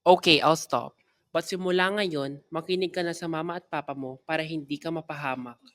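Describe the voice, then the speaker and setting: A woman's voice: low voice, steady tone, a little fast, pitched below the performer's own voice, lightly acted. A woman voicing a teasing old Filipino grandmother who tells stories soothingly, in a read-aloud children's story. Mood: gently lecturing.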